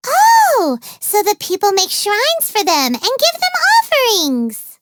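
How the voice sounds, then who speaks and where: A woman voicing a tiny childlike fairy companion in a video game, squeaky, bubbly, boastful and naive. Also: slow pace, slight background noise, exaggerated voice. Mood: cheerful, curious. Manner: refers to self in third person, exclamatory, excitable. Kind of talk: video game character voice lines.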